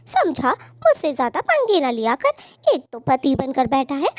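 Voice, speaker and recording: Scheming, sharp-tongued cartoon bird wife, played by a woman: very high, animated, scolding. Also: normal speed, dry recording, studio quality. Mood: angry, scolding. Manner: scolding, threatening. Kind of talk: Hindi cartoon voice acting.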